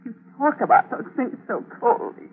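A woman's voice: fairly high pitch, animated, normal speed, breathy edge. A woman in a 1940s radio drama playing a nervous young accomplice, anxious and breathless. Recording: tinny old radio broadcast with hiss.

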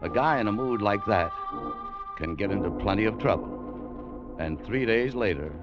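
A man's voice: fairly low pitch, animated, moderate pace. An actor playing a restless, cynical, world-weary American salesman in an old radio drama, talking and narrating in a hard-boiled way. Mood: brooding. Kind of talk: 1940s-50s radio drama acting, first-person narration. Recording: dull vintage radio recording, music behind.

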